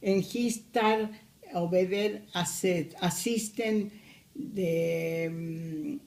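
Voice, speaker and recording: A woman in her eighties, originally from Argentina, speaking English with an Argentine Spanish accent as she recalls her life in a family interview, with frequent pauses and hesitations. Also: lowish voice, a little mellow. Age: 80s. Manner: slow, hesitant, many pauses. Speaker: grandmother interviewee.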